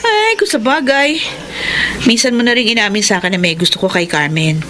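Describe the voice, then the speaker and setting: Catty animated jealous Filipina. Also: female voice, fairly high pitch, a little fast, a little hiss or hum. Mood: teasing. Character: bitter, catty, jealous young woman. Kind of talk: Filipino radio drama acting.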